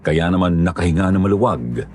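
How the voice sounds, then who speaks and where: A man narrating a horror story in a steady, measured storyteller manner. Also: low voice, faint music behind.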